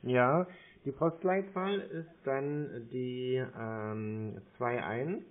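A young man with a mid-pitched voice reads out numbers slowly and deliberately, one digit at a time. His voice comes through a narrow, phone-like band. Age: 20s. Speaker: call recipient baiting a scammer. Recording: tinny phone line.

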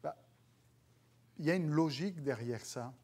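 A man's voice: on the high side, normal speed, a little mellow, husky. A man in his thirties from France, speaking with a French accent, preaching a sermon and lifting his voice on scripture quotes. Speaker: pastor. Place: church stage before dark curtains, indoors.

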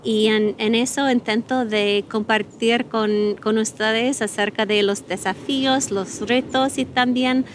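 Middle-aged American woman guest, English native, pausing often as she searches for words, slight room noise. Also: a little high, fairly even intonation. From United States. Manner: hesitant, many fillers and restarts. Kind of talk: conversational interview in learner Spanish.

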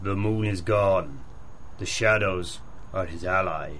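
An actor playing a wary, loyal tracker to a hunter in a 1930s-style radio drama, speaking gravely and formally. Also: male voice, low-pitched, slow pace, fairly bright tone, slight background noise. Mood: alarmed.

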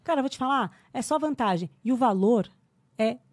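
A Brazilian woman in her thirties who teaches English, chatting on a podcast in an animated, explaining way. Her voice sits fairly high, and the recording is close and dry with no room sound. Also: fairly quick, no room sound. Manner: animated, explanatory, laughs often. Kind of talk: podcast conversation.

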